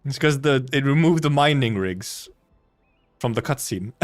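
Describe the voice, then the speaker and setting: A man's voice: mid-range voice, animated, unhurried. Rambling, casual male game streamer. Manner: rambling, loosely self-correcting, casual swearing. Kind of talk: casual live gameplay commentary.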